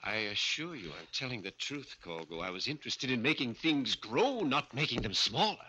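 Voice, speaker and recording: An actor playing an earnest scientist doctor, grave and formal, in 1940s radio horror style. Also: male voice, mid-range voice, very animated, normal speed, bright, forceful tone, husky, exaggerated voice. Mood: firm, regretful. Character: earnest, startled scientist doctor confronting a killer. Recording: noisy old radio recording.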